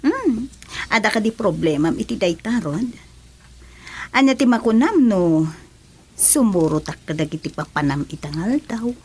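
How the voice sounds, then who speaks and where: Coy, husky, dramatic wife. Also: female voice, mid-range voice, sing-song, moderate pace, pauses often. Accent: Filipino. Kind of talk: Filipino radio drama acting.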